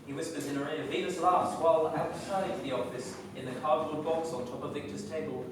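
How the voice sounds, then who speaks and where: A man's voice: on the high side, fairly even intonation, a little fast, noisy background. A man reading stage directions as scripted narration at a staged reading. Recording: very echoey room, far mic, loud music.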